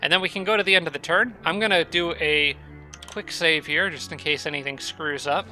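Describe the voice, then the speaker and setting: A man's voice: a little high, a little fast, sharp tone, faint music behind. A man giving casual commentary over a strategy game, thinking aloud with many fillers and restarts, his nose stuffed up from allergies.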